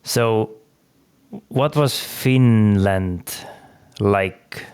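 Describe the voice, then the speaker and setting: A man hosting a podcast in relaxed conversation who laughs easily and uses many fillers. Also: fairly low pitch, very slow, clear, resonant tone. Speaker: podcast host. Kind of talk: casual interview talk.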